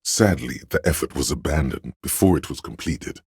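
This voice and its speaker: A man voicing an erudite inner voice of encyclopedic knowledge in a video game, narrating lore in a measured, dryly sardonic manner with a gravelly, husky tone. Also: extremely low pitch, animated, a little mellow, dry recording, studio quality, exaggerated voice.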